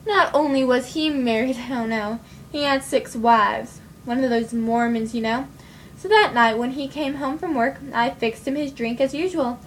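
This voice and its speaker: A wry, sardonic poisoner recounts her revenge, as a woman plays her. Her voice is fairly high, over a faint rumble. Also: steady tone, normal speed, lightly acted. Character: sardonic woman who poisoned a lying husband.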